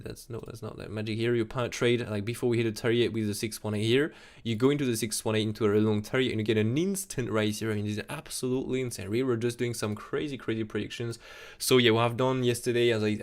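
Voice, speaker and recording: A young man with a French accent, talking casually on a live stream about trading charts, excitable and full of restarts, recorded at a desk mic in a small room. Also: fairly low pitch, vocal fry. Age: young adult. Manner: excitable, repeats "absolutely insane", many restarts. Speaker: crypto chart analyst streamer.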